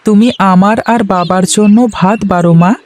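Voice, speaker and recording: A woman's voice: medium pitch, fairly even intonation, moderate pace, darkish tone, lightly acted. Woman playing young village boy, childlike, earnest, dutiful, cartoon dub, light background noise with chirping birds. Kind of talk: Bengali moral-story cartoon dubbing.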